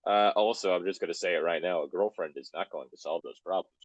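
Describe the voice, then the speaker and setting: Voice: sits low, quick pace, dry recording. A co-host chatting casually and reacting to a story with joking commentary.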